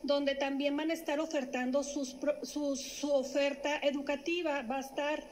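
A middle-aged woman, a city official, announcing an event at a press conference into a stand microphone, speaking haltingly with many fillers and restarts. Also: sits high, flat delivery, a little mellow, slight background noise. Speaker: municipal government official. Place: indoor press room.

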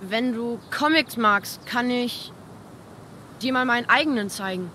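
A woman's voice: a little high, unhurried, sharp tone, a little hiss or hum. A woman playing an eager, imaginative young boy in a video game, with a youthful voice. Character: eager, imaginative young boy who loves comics and exploring. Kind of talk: naturalistic video game dialogue.

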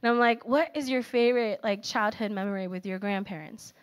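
A woman's voice: mid-range voice, fairly quick, clean tone. A woman in her thirties giving a casual, story-filled sermon to a young adult group. She speaks into a handheld mic on a church auditorium stage. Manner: animated and personal, filler-heavy storytelling. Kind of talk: conversational sermon. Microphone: handheld microphone.

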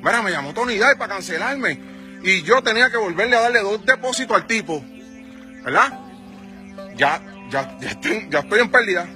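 A man's voice: high-pitched, lively intonation, normal speed, pushed and bright, faint music behind. Heated Puerto Rican man venting outdoors. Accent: Puerto Rican Spanish. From Puerto Rico. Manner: heated, confrontational, rhetorical questions. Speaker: music manager. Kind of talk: angry selfie-video rant. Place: outdoors on a sunny residential street. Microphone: phone at arm's length.

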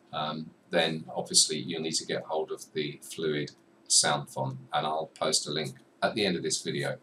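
A young man giving a chatty, self-deprecating software tutorial at his desk, talking to the viewer as he clicks through menus. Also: extremely low pitch. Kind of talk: screen-recorded software tutorial. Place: small room at a desk. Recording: slightly distant mic with faint room noise.

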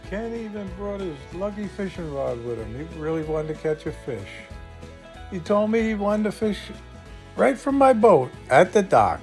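Casting: middle-aged male vlog host, upbeat, high-set voice, music underneath. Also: very animated, normal speed. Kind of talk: upbeat vlog narration and chat.

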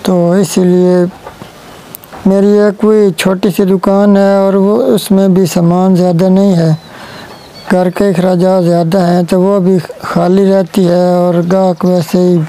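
A man's voice: sits high, warm tone, rough recording. A young man from Pakistan with a Pakistani Urdu accent, speaking earnestly to camera on a lapel mic outdoors in a village courtyard. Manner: slow, earnest, pleading. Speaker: village vlogger. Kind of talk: direct-to-camera appeal.

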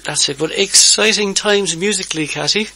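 A man's voice: sits high, normal speed, pushed and bright, no echo. A man hosting a radio interview, easygoing and fond of folksy sayings. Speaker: radio show host.

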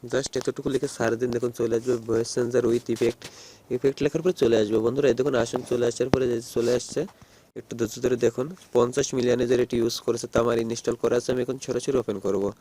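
Man, very quick delivery, dark mellow timbre, mid-pitched, casual tutorial host, repetitive phrasing. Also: a little hiss or hum. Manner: repetitive, keeps addressing viewers as friends. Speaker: host of phone app tutorial videos. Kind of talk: casual screen-recorded tutorial.

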